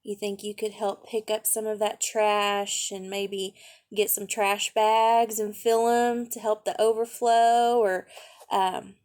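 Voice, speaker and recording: A woman reading aloud to children as a storytime teacher, gently explaining and asking questions along the way. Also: mid-range voice.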